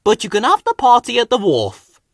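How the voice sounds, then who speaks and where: A man voicing a bossy, quick-tempered railway controller in a children's storybook. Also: very high-pitched, wildly swooping pitch, no room sound, studio quality, pitched above the performer's own voice, exaggerated voice. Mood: relenting.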